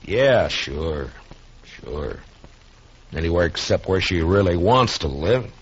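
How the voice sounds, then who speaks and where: A man playing a weary, troubled frontier marshal in a 1950s radio western, brooding, clipped and plain-spoken, with a rough edge. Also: low voice, expressive, takes it slowly, exaggerated voice. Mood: troubled, bitter. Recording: muffled old 1950s radio recording.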